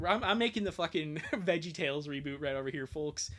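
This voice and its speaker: A man's voice: fairly high pitch, animated, brisk, noisy background. A man doing a casual live comic reading with joking asides, rambling and swearing and breaking off mid-sentence.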